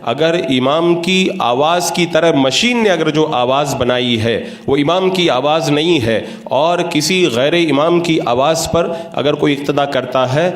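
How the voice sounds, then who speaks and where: Male cleric, slightly higher-pitched, formal and didactic religious lecturer, recorded with clear room echo. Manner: didactic, methodical, formal Urdu-laden diction. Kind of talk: religious lecture, explanatory sermon. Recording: roomy, echoing sound.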